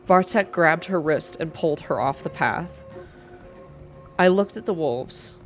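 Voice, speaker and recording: A woman reading first-person story narration aloud in a soft, hushed, measured ASMR style. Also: sits low, animated, faint music behind. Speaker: narrator reading a visual novel game aloud.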